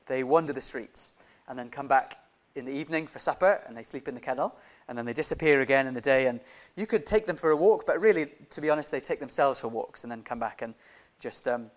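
A man who grew up in Indonesia, preaching a sermon in a conversational way, often putting questions to his listeners. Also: mid-range voice.

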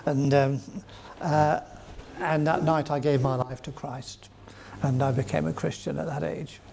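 Older man with a gravelly voice. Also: on the high side, flat delivery, unhurried, a little mellow, a little hiss or hum. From England. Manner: rambling, with chuckles and restarts. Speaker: guest. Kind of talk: storytelling interview.

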